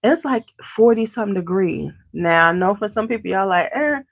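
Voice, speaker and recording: A woman's voice: mid-range voice, animated, moderate pace, vocal fry, dry recording, studio quality. A congested woman hosting a beauty channel, chatting casually with viewers at home and greeting them by name. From Chicago area. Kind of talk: casual chat to a live audience.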